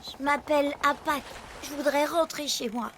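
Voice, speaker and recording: A woman's voice: high voice, normal speed, fairly bright tone, slight background noise. A woman voicing a small, timid baby animal in a cartoon dub, with a childlike manner. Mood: sad, timid. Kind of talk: cartoon dubbing.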